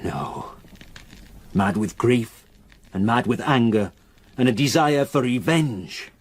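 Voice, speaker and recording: An actor playing a grave, reluctant local countryman in a radio drama, with a solemn, halting way of telling a dark story. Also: medium pitch, expressive, breathy edge. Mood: haunted, ominous.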